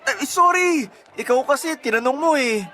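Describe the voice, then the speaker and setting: A man's voice: very high-pitched, lively intonation, moderate pace, pushed and bright, heavily acted. Lovestruck young man, stammering, eager, bashful, radio drama acting, faint music behind. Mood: flustered, apologetic.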